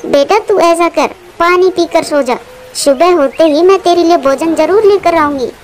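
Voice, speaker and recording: A woman's voice: extremely high pitch, normal speed, faint music behind. A woman voicing a worried, loving mother bird in a cartoon, pleading and tender.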